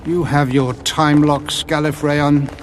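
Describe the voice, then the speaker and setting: An actor playing a solemn, haughty alien sorcerer priest in a science fiction audio drama, with grandiose, archaic speech. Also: male voice, fairly high pitch, flat delivery, slow pace, slight background noise.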